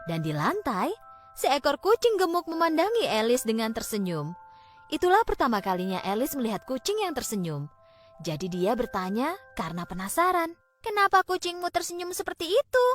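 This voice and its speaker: A woman narrating a scripted fairy tale for children, expressively and with deliberate phrasing. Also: fairly high pitch, sing-song. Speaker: storyteller. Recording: background music.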